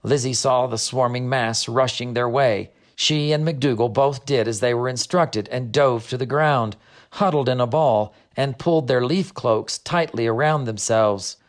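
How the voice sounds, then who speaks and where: A man reads a storybook aloud as narrator. His voice sits at a middle pitch, and his delivery is measured and expressive. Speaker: narrator, author reading own book.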